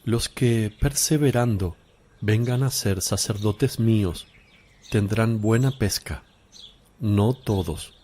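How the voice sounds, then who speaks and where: Calm solemn religious teacher, slow deliberate phrasing, warm dark timbre, radio drama. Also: male voice, lowish voice, slight background noise. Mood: calm, assuring.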